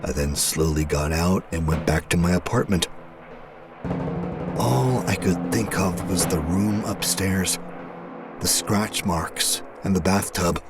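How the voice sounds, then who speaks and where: A man's voice: sits low, slow, over loud music. A man playing an exhausted, unhinged narrator of a horror diary, with a grave, haunted delivery. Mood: haunted, uneasy. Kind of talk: dramatic first-person horror narration.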